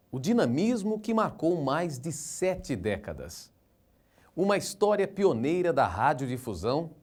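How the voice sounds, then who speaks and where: A man's voice: medium pitch, darkish tone. A man reading solemn scripted documentary narration.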